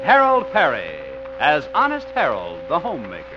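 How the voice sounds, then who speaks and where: A man's voice: on the high side, wildly swooping pitch, moderate pace. A male announcer introducing a 1950s radio sitcom in a formal, showy way. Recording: dull old radio with loud music.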